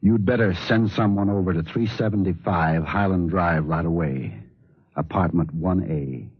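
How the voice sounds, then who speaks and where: A man playing a coldly deliberate husband turned killer, narrating slowly and gravely in a 1940s radio drama. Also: deep voice, no echo. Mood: numb, flat. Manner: slow, measured, grave delivery. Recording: dull 1940s radio recording, loud music.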